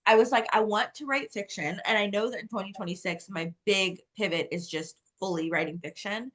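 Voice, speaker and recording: A woman's voice: mid-range voice, brisk. A woman, chatting casually as an author guest in an interview and telling things with animation, often saying "like". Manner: animated storytelling, heavy use of "like".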